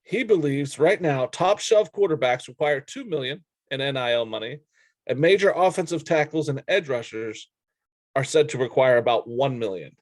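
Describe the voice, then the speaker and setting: A man's voice: medium pitch, moderate pace, no room sound. A man co-hosting a sports podcast, recapping news and giving blunt, informal opinions in casual talk.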